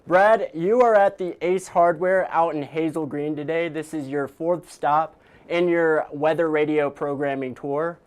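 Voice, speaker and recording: A man's voice: a little high, fairly even intonation, measured pace, clear, resonant tone. A man hosting a conversational live news interview as a digital presenter.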